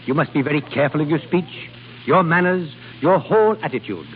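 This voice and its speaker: A man's voice: fairly high pitch, lively intonation, fairly quick, faint music behind, lightly acted. A man acting a middle-aged, penniless duke, formal, clipped and businesslike, in an old radio drama. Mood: brisk, instructive. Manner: clipped, formal, stern, urbane. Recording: muffled, noisy vintage radio recording.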